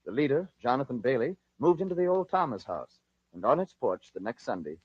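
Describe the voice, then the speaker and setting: An American man narrating a 1940s radio drama as host, in a theatrical, measured storytelling style. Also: mid-range voice, very animated, breathy edge, no room sound. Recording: dull lo-fi 1940s radio recording.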